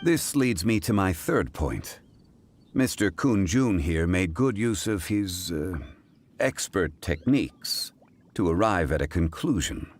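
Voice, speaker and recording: A man playing a composed, scholarly gentleman in a video game, speaking formally, deliberately and precisely. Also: low-pitched, expressive, exaggerated voice. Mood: methodical. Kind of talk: English video game voice acting.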